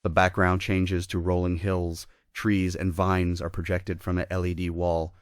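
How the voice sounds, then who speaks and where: A man narrates a script as the reader of stage directions. His voice is low, and the sound is dry, with hardly any room in it. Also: flat delivery, unhurried, clear, resonant tone, no room sound.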